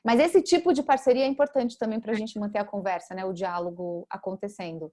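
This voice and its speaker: Woman, webinar moderator, mid pitch, explanatory, steady. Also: a little fast. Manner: explanatory, guiding the discussion.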